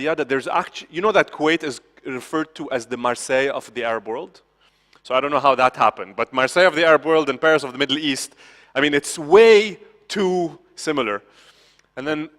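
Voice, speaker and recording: A man's voice: on the high side, a bit bright. A man from Lebanon, giving a conversational conference talk with frequent "okay?" asides and restarts. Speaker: conference speaker. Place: conference hall. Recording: hall reverb from a conference stage.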